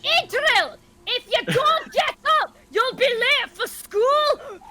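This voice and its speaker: A woman voicing a shrill, scolding Scottish mother from a visual novel, hollering impatient drawn-out commands. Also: expressive, exaggerated voice. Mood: impatient yelling.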